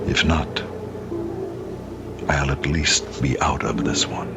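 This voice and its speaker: An actor playing a grief-stricken, despairing widower in an old-time radio drama, grave and melodramatic. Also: male voice, very deep voice, takes it slowly, loud music behind the voice, full character voice.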